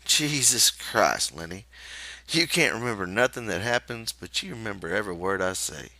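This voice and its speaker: A man voicing a weary ranch hand who speaks quietly and flatly. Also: fairly low pitch, moderate pace, dry recording, exaggerated voice, rough recording. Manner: quiet, flat, monotonous.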